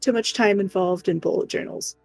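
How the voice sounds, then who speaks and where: A woman's voice: medium pitch, brisk, vocal fry, a little mellow, faint music behind. A woman co-hosting a crafts livestream, chatting casually and playfully with her viewers. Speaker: co-streamer doing crafts.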